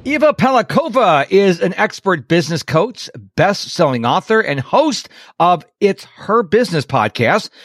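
A middle-aged man with a fairly high voice, talking loosely and warmly about his own missteps as he hosts a podcast. The sound is dry and close, with no room around it. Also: no room sound. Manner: chatty, self-deprecating, tells personal stories. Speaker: podcast host. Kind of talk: casual interview chat.

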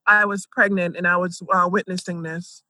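A woman's voice: fairly low pitch, flat delivery, normal speed, sharp tone, dry recording. A woman, telling her life story conversationally and peppering it with "like" and "you know". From Jamaica and Philadelphia, United States. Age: 30s.

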